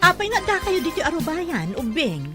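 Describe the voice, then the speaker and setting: A woman's voice: high voice, wildly swooping pitch, normal speed, loud music behind the voice. A woman playing a kindly, outspoken neighbour in an audio drama, concerned and full of questions. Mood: surprised, curious. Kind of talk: audio drama acting in Ilocano.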